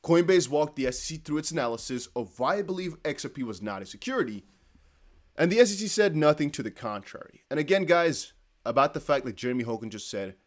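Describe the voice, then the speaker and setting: Chatty Dutch-native man with lively pitch. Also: fast. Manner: rambling, self-correcting, chatty. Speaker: crypto livestream host. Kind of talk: casual livestream chat, reading viewer comments.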